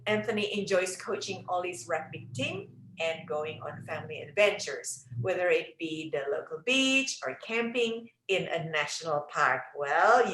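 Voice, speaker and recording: A woman's voice: medium pitch, a bit bright. A middle-aged woman with an Indonesian accent hosting a casual interview and chatting as a relationship coach. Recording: roomy, echoing sound.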